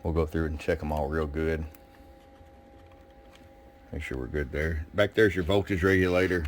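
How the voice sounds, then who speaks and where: A man's voice: very deep voice, fairly even intonation, moderate pace, a little hiss or hum. A man giving a casual, plain-spoken hands-on demonstration as a radio technician.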